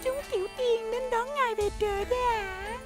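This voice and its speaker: Cartoon casting: sweet heavenly fairy attendant, coaxing, very high and lilting, loud music behind. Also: female voice, steady tone, brisk. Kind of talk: motion comic cartoon acting.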